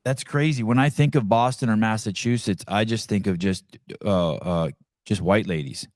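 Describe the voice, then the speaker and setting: A man from California hosting a relaxed podcast interview in a somewhat low voice. He rambles and jokes, often tripping over his words and starting again. The recording is dry, with no room echo. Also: moderate pace. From Santa Cruz, California. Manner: rambling, joking, stumbles and restarts a lot. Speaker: podcast host. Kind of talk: casual interview.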